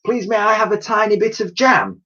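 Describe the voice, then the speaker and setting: A man's voice: sits high, lively intonation, moderate pace, pushed and bright, muffled recording, pitched above the performer's own voice, heavily acted. A man voicing an earnest, hungry little orphan girl in a children's storybook. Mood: pleading, timid. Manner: pleading, earnest.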